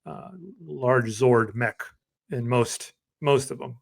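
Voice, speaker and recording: Relaxed rambling male podcast co-host. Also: mid-range voice, takes it slowly, gravelly. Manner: relaxed, rambling, filler-heavy. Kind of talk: casual podcast chat.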